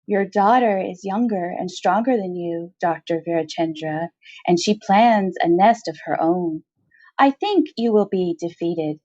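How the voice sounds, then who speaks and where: A woman's voice: fairly low pitch, lively intonation, a little slow, mellow, no room sound, dull audio. A woman voicing a giant female arachnid matriarch in a storybook reading, imperious and calculating, with formal, stilted, commanding phrasing. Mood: haughty, challenging.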